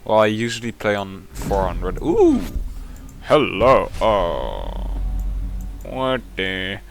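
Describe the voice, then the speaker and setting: A man giving casual, sarcastic commentary while opening game packs, grumbling and then breaking into sudden excited yells. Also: lowish voice, slow, creaky, faint music behind. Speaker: gaming content creator.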